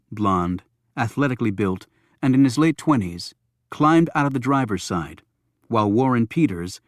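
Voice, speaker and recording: A man's voice: mid-range voice, dry recording, studio quality. A man narrating a thriller audiobook from a script.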